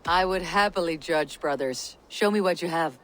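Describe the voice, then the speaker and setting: A woman playing a calm Viking warrior leader in a video game, with a low husky voice and a measured, stately manner. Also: a little hiss or hum, close to an everyday voice. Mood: wry, measured. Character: calm, steady Viking warrior woman leading her clan. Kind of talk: dramatic video game acting.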